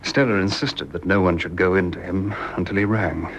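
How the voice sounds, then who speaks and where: An actor playing a shaken, restrained young gentleman in a period radio drama. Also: deep voice, steady tone, normal speed, a little hiss or hum. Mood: subdued.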